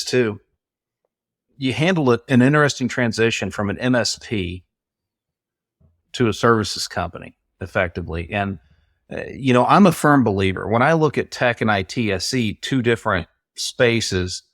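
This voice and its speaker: A man's voice: fairly low pitch, fairly bright tone, dry recording, clean studio sound. A man hosting an interview, asking long, rambling conversational questions. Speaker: podcast host. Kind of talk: casual interview.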